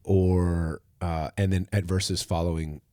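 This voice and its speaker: A man with a deep, low voice, hosting a relaxed church podcast as its pastor. He talks in an easygoing, reflective way and lets his sentences fade off quietly. The sound is close and dry, with no room around it. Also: a little slow, no room sound. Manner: relaxed, thoughtful, trails off softly at the ends of phrases. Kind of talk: casual conversational podcast.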